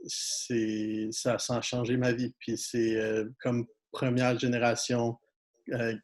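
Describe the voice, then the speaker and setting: A young man with a Québécois French accent, a new graduate thanking donors, speaking hesitantly with many pauses and audible emotion. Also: mid-range voice, monotone, darkish tone. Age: young adult. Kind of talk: spontaneous thank-you speech. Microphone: video call.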